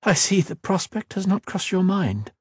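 A man voicing a proud, wary queen who speaks in a haughty, cutting, measured way. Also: sits high, very animated, breathy edge, no echo, voice pushed up, heavily acted. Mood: commanding.

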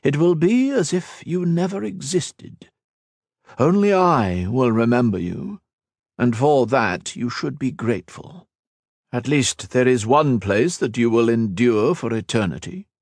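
Man playing a haughty eternal cosmic villain, slow lofty delivery, lively swooping pitch, dry close sound, audiobook. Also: no echo. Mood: disdainful. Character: ancient arrogant male cosmic being, cold and contemptuous.

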